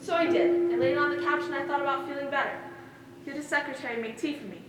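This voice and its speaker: A woman's voice: sits high, fast talker, a little hiss or hum, natural voice. A woman on stage playing a young girl who recalls a troubling day in plain, childlike storytelling. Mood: wistful. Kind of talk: theatrical stage monologue. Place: stage in a hall, one-act play performance. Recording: very echoey, distant stage recording with loud music.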